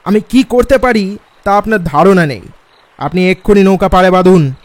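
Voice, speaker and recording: A man's voice: sits high, moderate pace, no room sound, close to an everyday voice. A man voicing an agitated, frightened male passenger in a horror audio story, prone to stammering. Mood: alarmed anger.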